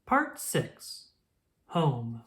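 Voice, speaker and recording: Animated, unhurried woman storyteller. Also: a bass voice. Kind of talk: expressive children's book read-aloud.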